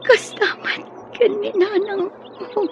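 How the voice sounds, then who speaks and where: Hurt young filipina mom, radio drama, slow and high, crying and gasping, muffled sound. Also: female voice, steady tone. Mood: weak, pleading. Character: wounded, desperate young mother fleeing rebels. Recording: dull lo-fi radio drama, noisy effects.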